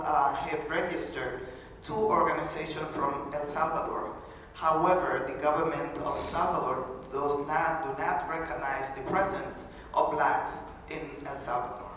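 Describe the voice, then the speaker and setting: A woman's voice: sits low, slight background noise. Woman speaking in an echoey hall. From Honduras. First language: Garifuna. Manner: earnest, halting delivery with many pauses and restarts. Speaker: speaker at a panel event. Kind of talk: formal public talk. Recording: echoey hall from far off, faint ambience.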